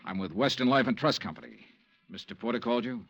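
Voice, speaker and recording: An actor playing a hard-boiled American insurance investigator in a 1950s radio detective drama, clipped and matter-of-fact. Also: male voice, mid-range voice, fast talker, vocal fry, a bit bright, breathy edge, muffled recording. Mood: pressing, insistent. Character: hard-boiled, brisk male insurance investigator narrating his case. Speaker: lead actor and narrator. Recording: vintage 1950s radio broadcast.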